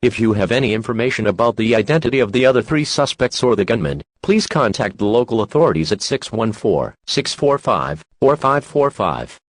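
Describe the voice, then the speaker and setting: A man's voice: mid-range voice, animated, a little fast, few pauses, no echo, lightly acted. A man voicing an impersonal emergency alert announcer, reading scripted civil warnings in a formal, instructive manner. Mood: impersonal, official.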